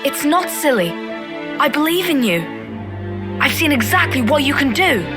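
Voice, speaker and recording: A woman's voice: sits high, sing-song, moderate pace. A woman playing a loyal, stubborn child in a video game, speaking in an earnest, plain and encouraging way. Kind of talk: video game cutscene acting. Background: loud background music.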